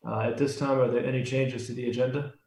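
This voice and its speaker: A man's voice: medium pitch, level tone, fast, darkish tone. American man chairing, roomy echoing sound. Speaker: chair of a city review commission. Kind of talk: formal scripted reading of meeting rules. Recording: roomy, echoing online meeting audio.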